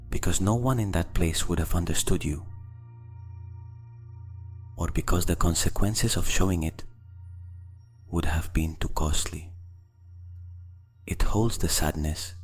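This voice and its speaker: A man with a very low, husky voice leads a guided meditation. He reads slowly and deliberately, leaving long pauses between short phrases. Music plays behind him. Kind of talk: scripted guided meditation narration.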